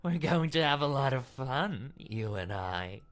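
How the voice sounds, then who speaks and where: Man putting on a cruel, slow, taunting princess. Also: mid-range voice, sing-song, a bit bright, pitched above the performer's own voice. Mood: playful menace. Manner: taunting, drawn-out. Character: cruel, playful, menacing monstrous young princess. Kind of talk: dramatic game dialogue.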